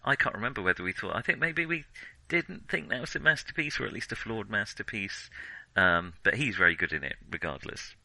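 A man's voice: on the low side, animated, brisk, creaky, bright, forceful tone, no echo, poor audio. A man co-hosting a podcast, discussing films casually in a hesitant, discursive way that often corrects itself. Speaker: podcast co-host.

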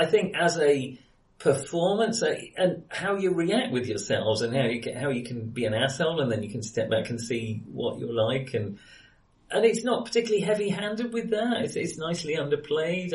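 A man's voice: on the high side, expressive, moderate pace. A man in a casual film discussion, speaking as an enthusiastic, opinionated co-host who often rephrases as he goes. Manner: hesitant, rephrases often, chuckles while talking. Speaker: podcast co-host reviewing films. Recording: roomy echo, distant microphone.

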